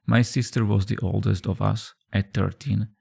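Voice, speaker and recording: A man reading a scripted horror story as a narrator, in a measured, subdued storytelling manner. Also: on the low side, steady tone, gravelly, mellow, breathy edge. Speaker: creepypasta narrator. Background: background music.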